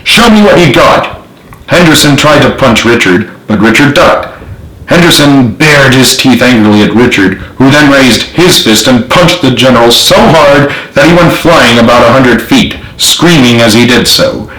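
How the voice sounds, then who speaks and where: A man's voice: medium pitch, expressive, moderate pace, a bit bright. A man reading his own novel aloud as author, in dramatic storybook narration. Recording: roomy, echoey home recording.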